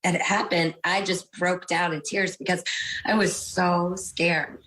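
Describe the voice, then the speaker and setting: A middle-aged woman, hoarse from screaming, recounting a frightening encounter in a news interview. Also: lowish voice, level tone, unhurried, a bit bright, low-quality recording. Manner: shaken, recounting an ordeal.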